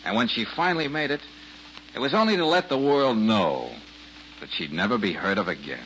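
An actor playing an easygoing, sharp male detective in a 1940s-style radio drama, with a halting, folksy delivery. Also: fairly low pitch, lively intonation, close to an everyday voice. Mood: explaining. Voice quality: halting, folksy, full of uhs. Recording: dull lo-fi old radio broadcast with faint hiss.

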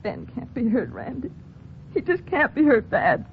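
An anguished, bitter American woman in a radio melodrama, slangy and emotional. Her voice is fairly high, on a muffled old recording with faint noise. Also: wildly swooping pitch, moderate pace. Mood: tearful. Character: anguished, bitter, tough-talking woman fearing for her man. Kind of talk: 1940s-50s radio melodrama acting.

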